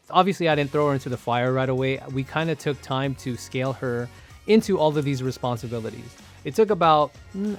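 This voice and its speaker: A middle-aged American man from the Bay Area giving casual, explanatory advice straight to the viewer as a content creator. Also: fairly high pitch. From San Francisco Bay Area, US. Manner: conversational, explanatory, addresses viewer directly. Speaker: content creator, video host. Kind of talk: casual instructional talk to camera.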